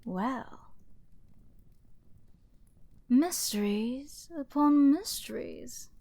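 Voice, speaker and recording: A woman playing an arrogant, flirty princess with a dark, mocking edge in a dramatic fantasy ASMR roleplay, teasing and often murmuring. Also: a little high, no room sound, heavily acted. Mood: intrigued, suspicious. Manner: haughty, teasing, deliberate, often dropping to breathy murmurs.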